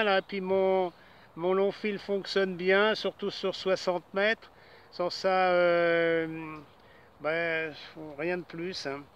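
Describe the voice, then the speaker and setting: A man chatting as an amateur operator over shortwave radio, pausing and repeating phrases. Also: high voice, fairly bright tone, smooth, highs cut off. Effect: single-sideband shortwave radio. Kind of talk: casual radio sign-off chat.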